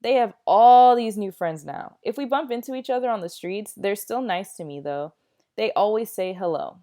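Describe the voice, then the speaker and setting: A woman's voice: medium pitch, animated, moderate pace, mellow, natural voice. A woman reading a children's novel aloud as a candid, self-aware ten-year-old boy, in a conversational and emphatic way. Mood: earnest, reflective. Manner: conversational, emphatic storybook narration.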